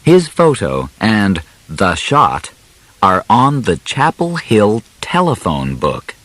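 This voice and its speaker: A man with a low voice reads an audiobook in simple language. His delivery is slow and deliberate, phrase by phrase, with very animated, swooping intonation. The recording is dry and close, with no room sound. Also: dry recording. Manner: deliberate, clearly separated short phrases. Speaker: audiobook narrator. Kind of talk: scripted storybook narration in simple graded language.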